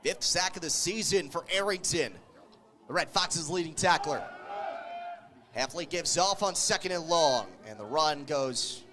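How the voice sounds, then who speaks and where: High-voiced man calling football play-by-play. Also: animated, measured pace. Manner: clipped, vivid phrases that follow the action. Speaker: American football radio play-by-play announcer. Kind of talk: live sports play-by-play commentary.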